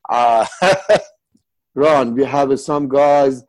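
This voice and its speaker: A man's voice: mid-range voice, very slow, rough recording. A man from Iran with a Persian accent, Farsi his first language, telling stories as an interview guest in earnest, broken English. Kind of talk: casual interview.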